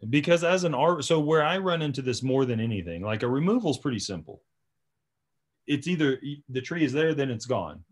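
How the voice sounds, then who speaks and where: A man's voice: medium pitch, animated, brisk. A man who runs a tree service, chatting casually and telling stories from work. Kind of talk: casual chat, storytelling from work.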